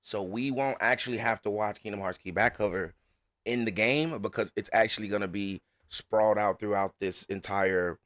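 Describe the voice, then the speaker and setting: A man's voice: on the low side, steady tone, moderate pace, a bit bright, dry recording, studio quality. A man hosting a reaction live stream, chatting in an informal, rambling, self-effacing way. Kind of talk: casual live stream chat.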